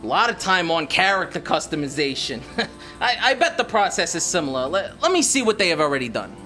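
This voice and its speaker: A man playing a relaxed, crude man who laughs often in a comedic audio drama. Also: a little high, fairly quick, soft background music. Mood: casual. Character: crude, laid-back man enjoying the afterlife.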